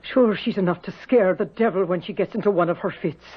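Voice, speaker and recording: A woman's voice: fairly low pitch, brisk, breathy edge. An actress playing a motherly, inquisitive housemaid in a radio drama, speaking in a soothing, folksy way. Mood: soothing, curious. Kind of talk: old-time radio drama acting. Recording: dull old radio recording.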